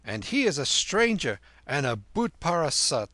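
A man's voice: on the high side, sing-song, normal speed, sharp tone, dry recording, voice pushed up. A man voicing a proud, scornful young know-it-all boy in a storybook, declaring things dismissively.

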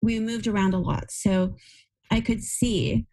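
A woman hosts a casual interview and speaks in an encouraging, reflective way. Her pitch sits in a plain middle range. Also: steady tone, unhurried, vocal fry, warm tone, rough recording.

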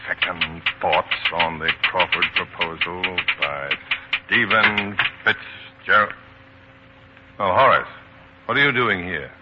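Radio lead: wry American journalist, fairly low voice, understated, dull vintage recording. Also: male voice, takes it slowly, long pauses, over loud music. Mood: weary, courteous. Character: principled, wry American newspaperman chafing under his boss. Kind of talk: 1940s radio acting. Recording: muffled, noisy 1940s radio recording.

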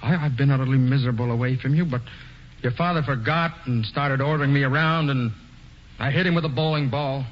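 A man's voice: mid-range voice, brisk, natural voice. An actor playing an earnest, hot-tempered young male hero who stammers a little, on a dull old radio broadcast. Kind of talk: 1940s radio acting.

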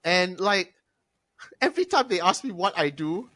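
A young man with a Malaysian English accent tells stories in a casual podcast chat. His voice is bright and sharp and swings widely in pitch, with a dry, close sound. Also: normal speed, no room sound. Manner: animated, profane storyteller who mimics customers. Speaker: podcast guest, former bartender and film reviewer.

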